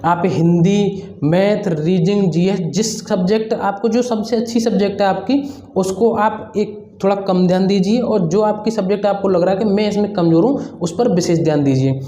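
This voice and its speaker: A man's voice: sits high, moderate pace, dark tone. A young man giving informal, explanatory exam advice to students, often repeating his points. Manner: repetitive, explanatory, advising. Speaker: exam-prep advice presenter. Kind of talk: informal instructional talk to students. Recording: roomy echo, dull lo-fi sound.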